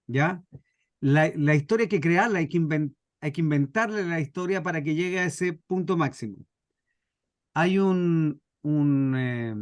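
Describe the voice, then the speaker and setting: A man's voice: sits high, dry recording, studio quality. A man with a Chilean accent teaching a workshop informally through stories, repeating phrases for emphasis. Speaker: scriptwriting workshop instructor. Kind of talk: informal teaching with acted anecdotes.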